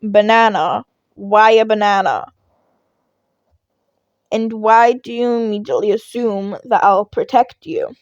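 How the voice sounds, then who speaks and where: A woman reads as a grumpy man who teaches and has had enough. The delivery is deadpan and curt, a tired audio-story character recorded dry and close. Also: medium pitch, a little slow, pauses often, no room sound, highs cut off, natural voice. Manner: flat, curt, exasperated. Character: weary, grumpy, deadpan adult male teacher. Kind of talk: podfic character voice.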